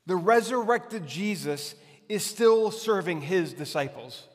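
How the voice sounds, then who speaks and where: A man in his forties preaching a conversational sermon as a pastor, with emphatic repetition and deliberate pauses. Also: sits high, animated. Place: large hard-walled church hall, on stage. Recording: long hall reverb.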